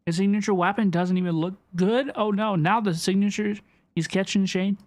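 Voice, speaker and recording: A woman livestreaming a game, chatting casually with viewers in an animated, repetitive way as she reacts and reads chat. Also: medium pitch, normal speed. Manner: animated, repeats questions, reads chat aloud. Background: game music.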